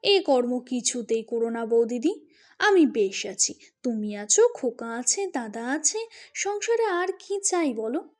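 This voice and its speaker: A woman's voice: a little high, sing-song, unhurried, darkish tone. A woman voicing a cheeky, stubborn young bachelor in an audio storybook, playfully arguing with wry humour. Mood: stubborn, coaxing.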